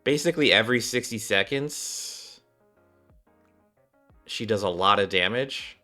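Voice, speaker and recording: A man's voice: lowish voice, animated, a little slow, sharp tone, faint music behind. A man doing casual game commentary, thinking aloud in a rambling way, with a slightly worn voice. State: voice slightly off, recovering. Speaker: gaming streamer, host.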